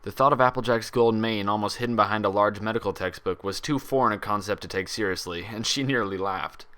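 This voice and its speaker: A man reading a story aloud as a narrator, in an expressive scripted style. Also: on the low side, brisk, smooth. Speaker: fan fiction narrator.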